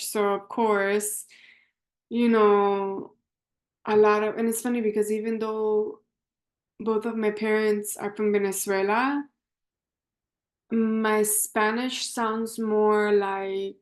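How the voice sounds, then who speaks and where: A young woman from Miami introducing herself to a class in a casual, rambling way that often corrects itself. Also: mid-range voice. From Miami, Florida. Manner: rambling, self-correcting, conversational.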